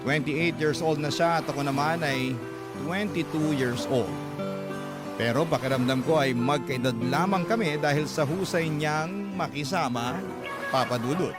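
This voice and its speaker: A man's voice: on the high side, unhurried. A man working as a radio storyteller, reading out a first-person love letter as scripted narration. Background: music.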